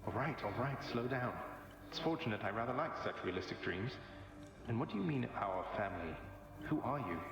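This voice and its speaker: A man's voice: mid-range voice, lively intonation, a little fast, close to an everyday voice. A man voicing a wry, easygoing young underworld prince in a video game, with a sardonic, casual manner. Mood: bemused, wry. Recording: long echoing reverb with music behind.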